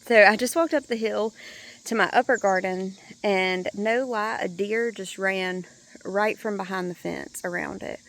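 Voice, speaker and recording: A woman vlogging a casual walk-through of her home garden, speaking in a chatty, relaxed way with a hoarse, congested voice. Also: medium pitch, a little slow. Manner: chatty, relaxed, thinking aloud.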